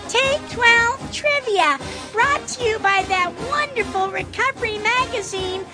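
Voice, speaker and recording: A performer voicing a squeaky sped-up game show announcer in a produced radio jingle. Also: unhurried. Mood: chipper. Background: jingle music.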